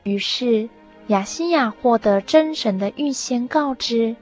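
Slow, high-voiced woman narrator. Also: a little mellow. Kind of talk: scripted storytelling narration for radio drama. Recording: music behind the voice.

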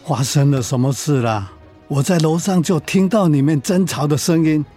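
A man's voice: fairly high pitch, moderate pace, mellow, faint music behind, close to an everyday voice. A man playing a stern, offended elderly father in a radio drama, admonishing the younger generation.

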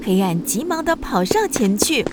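A woman narrating a children's story in an expressive, scripted storyteller style. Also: on the high side, normal speed, very noisy.

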